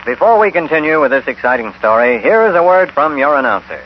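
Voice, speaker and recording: A man's voice: fairly high pitch, sing-song, fast, a little hiss or hum. An American man narrating a radio western as its announcer, in scripted 1950s style. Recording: muffled old radio broadcast. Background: loud brass music.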